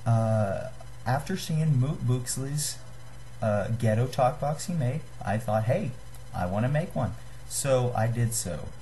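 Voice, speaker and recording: A man's voice: animated, slow, warm tone. A young man giving a casual, unscripted tutorial to a webcam in a small room, in a hesitant, apologetic, self-deprecating manner. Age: young adult. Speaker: DIY video maker giving a tutorial. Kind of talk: casual unscripted how-to talk. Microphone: built-in webcam at desk distance. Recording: noisy low-grade webcam microphone.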